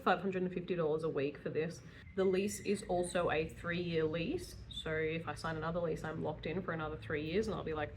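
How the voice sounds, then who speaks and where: A woman in her early thirties with a slightly low voice chats candidly, as if to a camera. Her delivery is casual and rambling, with self-deprecating asides. There is noticeable noise behind her. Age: early 30s. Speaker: vlogger, self-employed dog groomer.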